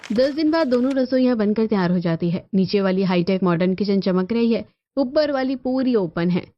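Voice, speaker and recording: A woman's voice: mid-range voice, lively intonation, normal speed, no echo. A woman reading scripted narration for a cartoon family story. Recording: dull lo-fi audio with music behind.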